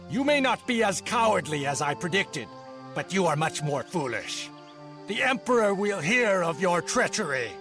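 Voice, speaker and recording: A man's voice: high-pitched, very animated, normal speed, over music. A man playing a stern, honourable Chinese fleet captain in a video game cutscene, speaking in a formal, grave, commanding way. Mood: defiant, scornful. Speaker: game character, military leader.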